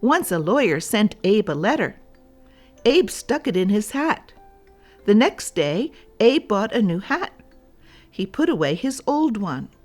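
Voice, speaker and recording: Slow-paced woman reading a children's story. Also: mid-range voice, sing-song, a little mellow, faint music behind. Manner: deliberate, addressing young listeners. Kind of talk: read-aloud storybook narration for children.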